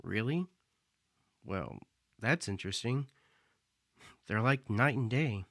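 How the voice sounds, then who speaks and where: An actor playing a magician who muses in a reflective, measured way. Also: male voice, mid-range voice, lively intonation, fairly bright tone, no echo, studio quality. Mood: casual. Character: brooding male magician, philosophical.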